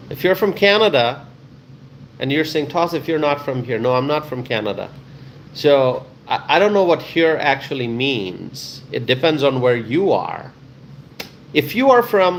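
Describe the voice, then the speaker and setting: A man hosting a live stream talks casually with his viewers. He reads their comments aloud, answers each one, and repeats key phrases for emphasis. His voice is mid-pitched, and a faint hiss of background noise sits under it. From Bangladesh.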